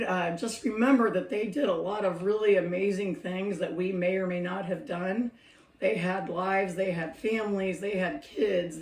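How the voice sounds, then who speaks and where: Middle-aged woman, low gravelly scratchy voice, chatty and reflective vlogger, roomy distant sound. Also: a little mellow. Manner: chatty, reflective, laughs often. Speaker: senior care worker sharing advice. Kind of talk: casual talking-to-camera vlog. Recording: roomy echo, mic a bit distant.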